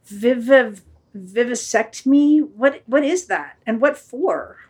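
A woman's voice: on the high side, very animated, normal speed, husky. A woman voicing a timid, naive little dog that pleads with its master in an amateur audio play. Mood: puzzled, timid. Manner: pleading, stammering when puzzled. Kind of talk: amateur radio play reading.

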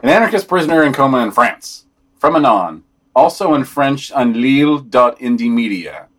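A man with a slightly husky voice reading written news summaries and quotes aloud on a podcast, with some commentary. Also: mid-range voice, animated, moderate pace, fairly bright tone.

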